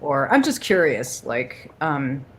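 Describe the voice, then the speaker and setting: A woman's voice: very deep voice, sing-song, slow pace, background noise. A woman interviewing casually as a host, tentative and circling around her questions before she lands on them.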